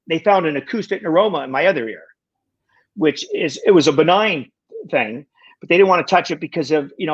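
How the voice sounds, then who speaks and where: A man of about sixty, a guest in a casual conversation, talking in halting bursts with lots of restarts and wry self-deprecating jokes. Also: on the high side, muffled recording. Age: 60s. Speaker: podcast guest.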